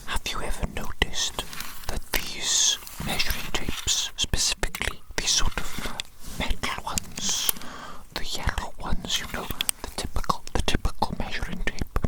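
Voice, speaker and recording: A performer voicing an eccentric evil doctor doting on a specimen in a whispered ASMR medical roleplay, breathy and given to repeating phrases. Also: unhurried, lightly acted, rough recording. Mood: curious, coaxing.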